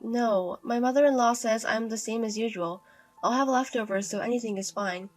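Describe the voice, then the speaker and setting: A woman's voice: fairly high pitch, fairly even intonation, moderate pace, faint music behind, lightly acted. A woman voicing a timid, downtrodden young wife who speaks meekly and apologetically. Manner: meek, apologetic, soft-spoken.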